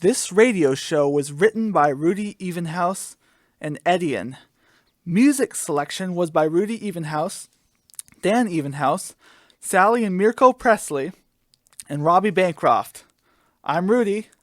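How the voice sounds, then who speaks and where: A woman's voice: lowish voice, expressive, a little slow, dry recording, clean studio sound. A woman hosting a radio show, giving a casual sign-off in a hesitant, rambling, ad-libbed way. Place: college radio studio.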